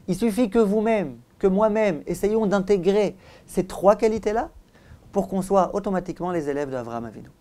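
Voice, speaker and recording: A man's voice: high-pitched, a little mellow. A man speaking as a rabbi giving a religious lesson, telling it dramatically and emphatically, with rhetorical questions. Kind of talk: religious lecture to listeners.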